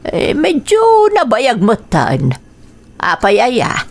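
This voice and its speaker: A woman with an Ilocano accent playing a caring, protective older grandmother in a radio drama, with drawn-out motherly interjections. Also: a little high, wildly swooping pitch, heavily acted. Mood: gently chiding. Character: older grandmother, caring and defensive of her daughter.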